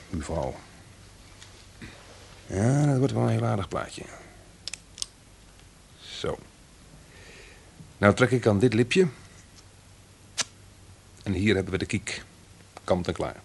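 An actor playing a coolly menacing, polite blackmailer in an old Dutch radio play with faint noise. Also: male voice, low voice, lively intonation, slow, vocal fry, long pauses, heavily acted. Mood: cool, controlling. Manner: suave, commanding, precise.

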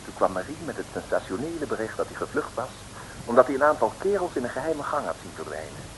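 An actor playing a droll storyteller, narrating an adventure serial for radio with mock-dramatic suspense. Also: male voice, mid-range voice, sing-song, fast talker, natural voice, rough recording. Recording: faintly hissy old radio recording.

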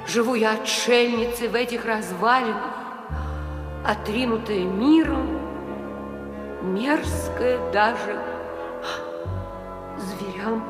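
Sorrowful owl princess, slow and echoing. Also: female voice, on the high side. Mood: mournful. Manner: solemn, theatrical storytelling. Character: sorrowful enchanted owl princess, young, plaintive and courtly. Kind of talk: audio fairy-tale drama. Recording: echoey reverberant hall, music underneath.

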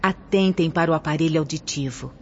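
An actress playing a gentle, solemn female spirit teacher in a radio drama, with a Brazilian accent and reverent, declamatory phrasing. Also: deep voice, a little fast, a little hiss or hum. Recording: dull lo-fi audio under music.

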